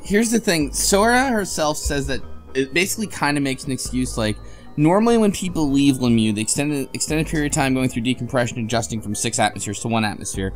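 A man chatting casually as a podcast co-host, retelling a game's story in a halting, hesitant way. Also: mid-range voice, lively intonation, faint music behind. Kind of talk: casual chat, recapping a game story.

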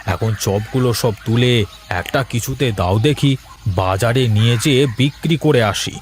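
A man playing a simple, good-natured village pumpkin seller in a cartoon, with folksy drawn-out exclamations. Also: medium pitch, slight background noise, natural voice. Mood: delighted. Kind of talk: cartoon moral-story voice acting.

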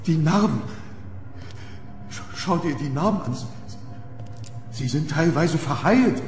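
A man playing an eager, commanding archaeologist in a horror radio play, dramatic and excitable. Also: fairly high pitch, takes it slowly, faint music behind. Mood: alarmed, urgent. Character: eager, commanding male archaeologist exploring a tomb. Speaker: audio drama actor. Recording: roomy, long echo, background noise.

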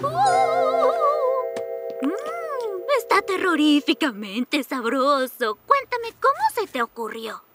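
A woman's voice: very high-pitched, big pitch swings, slow, long pauses, heavily acted. A woman voicing an enthusiastic, dreamy girl who is fascinated by ghosts, in a cartoon dub. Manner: breathless, enthusiastic. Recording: music bed under the dialogue.

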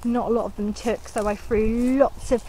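A woman's voice: on the high side, fairly even intonation, moderate pace, mellow, poor audio. A woman in her thirties with a southern English accent, chatting casually and enthusiastically in an informal vlog, recorded outdoors on a handheld camera. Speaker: gardening vlogger. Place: outdoors on an allotment, partly in a shed. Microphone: handheld camera at arm's length. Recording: clear outdoor background noise.

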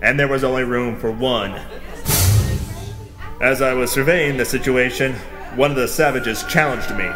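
A man's voice: mid-range voice, normal speed, long pauses, natural voice. An actor playing a pompous, violent macho adventurer, narrating his exploits melodramatically in a pulp radio drama. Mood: smug, grave. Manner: bombastic, melodramatic. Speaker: narrating hero. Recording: loud music and crowd noise behind. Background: loud music and crowd.

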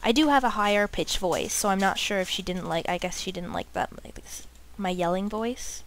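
A woman's voice: mid-range voice, normal speed. A woman chatting casually while playing a game, giggly and blunt, swearing when things go wrong, on a headset mic. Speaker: let's play co-host. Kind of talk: casual gaming chat.

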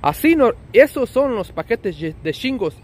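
A man's voice: extremely high pitch, very animated, moderate pace. A man with a Mexican accent explaining things in a casual, colloquial, friendly vlog style, as a trucker would. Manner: friendly, colloquial, with diminutives and slang. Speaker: trucker vlogger. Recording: outdoor ambient and vehicle noise.

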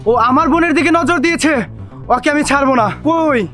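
A man's voice: very high-pitched, brisk, over music. A man playing a furious, protective big brother in a cartoon drama.

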